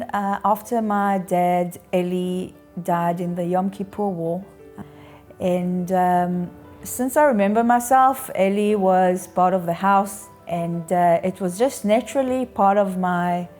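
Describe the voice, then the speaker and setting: A woman in her fifties giving a reflective, halting and earnest personal account in a documentary interview. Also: mid-range voice, dark tone. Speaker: interviewee, bereaved daughter. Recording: music underneath. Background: music.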